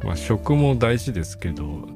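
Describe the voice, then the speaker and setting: Low-voiced middle-aged man over guitar music. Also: very animated, moderate pace. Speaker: guest, band saxophone and flute player. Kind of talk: casual podcast conversation.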